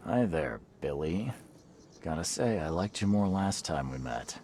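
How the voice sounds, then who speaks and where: A man playing a weary, hard-boiled private eye in a video game, with a gravelly voice and a terse, dry manner. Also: animated, measured pace, dark tone, slight background noise. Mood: firm. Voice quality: very deep, gravelly, rough. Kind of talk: video game cutscene acting.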